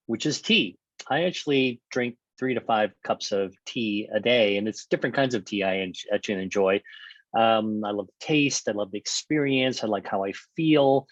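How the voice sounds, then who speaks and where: Man hosting a webinar, halting. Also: medium pitch, dry recording, highs cut off. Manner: halting, repeats words and uses fillers. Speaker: webinar host. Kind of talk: conversational interview.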